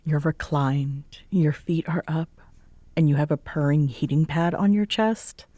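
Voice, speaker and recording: Woman acting a slow, teasing, low-voiced tsundere roommate. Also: warm tone, no room sound. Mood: drowsy teasing. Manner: sarcastic, teasing, hesitant when sincere. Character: tired, teasing female bartender roommate, tsundere, secretly fond. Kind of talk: roleplay audio acting, intimate one-sided conversation.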